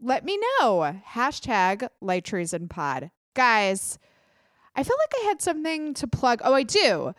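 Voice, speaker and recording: A young adult woman hosting her own podcast, chatting casually with quick self-deprecating asides, sounding a little congested. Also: on the high side, big pitch swings, dry recording, studio quality. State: congested, clogged ear. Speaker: podcast host. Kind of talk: casual solo podcast chat.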